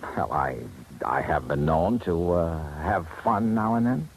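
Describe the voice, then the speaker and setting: Wry, lively 1950s radio detective. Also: male voice, low voice, a little slow, a little hiss or hum. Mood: dry, guarded. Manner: wry, laconic, clipped. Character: wry, cool insurance investigator, a hard-boiled detective. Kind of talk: 1950s radio detective acting, first-person narration and dialogue. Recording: vintage 1950s radio with music.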